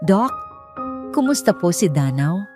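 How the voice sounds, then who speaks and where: A woman's voice: on the low side, sing-song, a little slow, darkish tone, soft background music. A woman voicing an anguished, tender single mother pleading for her sick child in an audio drama. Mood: anxious. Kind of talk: Tagalog audio drama storytelling.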